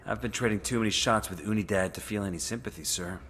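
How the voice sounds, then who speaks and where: An actor playing a gruff, dry-witted special forces soldier, deadpan and unimpressed, in a video game. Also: male voice, on the low side, fast, slight background noise, lightly acted. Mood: dry, curt. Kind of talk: dramatic video game cutscene acting.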